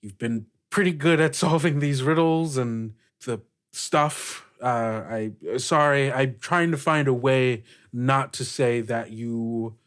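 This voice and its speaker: A man playing an earnest, weary fantasy sea captain in a halting, deliberate way. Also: medium pitch, fairly bright tone. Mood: earnest, awkward.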